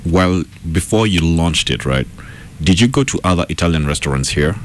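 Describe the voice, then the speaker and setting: A man's voice: deep voice, animated, moderate pace, slight background noise. A man hosting a casual interview, asking informal questions and murmuring acknowledgements as he listens. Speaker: interview host.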